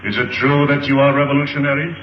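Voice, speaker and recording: A muffled old film soundtrack carries a distant, echoing male voice. An actor plays a solemn alien council director who speaks formally and deliberately, as in a 1930s space serial. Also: mid-range voice, flat delivery, slight background noise, close to an everyday voice. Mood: grave, formal.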